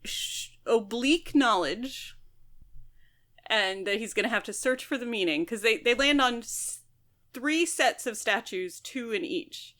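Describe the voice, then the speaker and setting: A woman's voice: fairly high pitch, expressive, measured pace, a bit bright. A woman podcast host casually discussing a book, explaining things with mock quotes as she goes.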